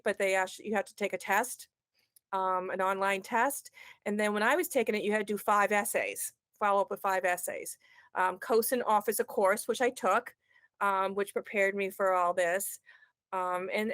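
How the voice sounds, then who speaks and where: Woman, Boston accent, middle-aged, smooth voice, dry close sound, chatty with lots of ums. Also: mid-range voice, a bit bright, dry recording. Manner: chatty, many ums and restarts. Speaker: guest, ed tech consultant. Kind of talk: casual interview answers.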